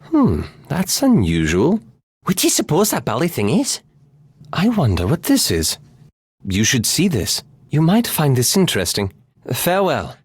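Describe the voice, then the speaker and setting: Bookish elf wizard for a game, kinda high voice, super proper n dry, very dry clean recording. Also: male voice, huge pitch swings, measured pace, husky, dry recording, heavily acted. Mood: wary, courteous. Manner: precise, formal, bookish phrasing with dry understatement. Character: refined, cautious, scholarly elf wizard, dryly polite. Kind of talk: video game character dialogue.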